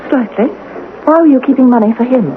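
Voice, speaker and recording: A woman's voice: high-pitched, fairly quick, natural voice. An actress as a genteel young lady, tinny radio. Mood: polite, curious. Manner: genteel, dramatic, emphatic. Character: poised, genteel young colonel's daughter, romantic and strong-willed. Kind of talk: 1980 American radio drama acting. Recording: tinny, noisy old radio broadcast.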